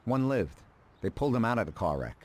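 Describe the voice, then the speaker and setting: A man playing a calm, calculating middle-aged mob advisor in a video game, speaking in a dry, deliberate, matter-of-fact way. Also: fairly low pitch. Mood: stern, dry. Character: calm, calculating male mafia consigliere, drily ruthless.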